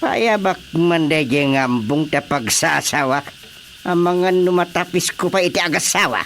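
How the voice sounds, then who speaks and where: A man's voice: on the high side, expressive, moderate pace, fairly bright tone, a little hiss or hum. A man playing a blunt, exasperated older uncle who grumbles and exclaims in a radio drama.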